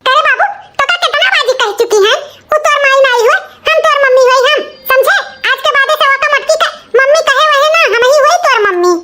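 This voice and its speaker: A man's voice: very high-pitched, fairly quick, sharp tone, exaggerated voice. A man voicing a vain, jealous young village woman in a comic cartoon, with an Awadhi accent and a nagging, taunting manner. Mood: bossy, exasperated. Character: vain, jealous, scolding young village sister-in-law, a mother.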